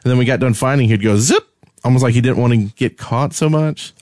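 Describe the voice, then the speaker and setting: A man's voice: medium pitch, brisk, a little mellow, no echo, clean studio sound. A man co-hosting a podcast, casually telling gaming stories in a conversational way and acting out the dialogue as he goes. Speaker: podcast co-host and game server admin.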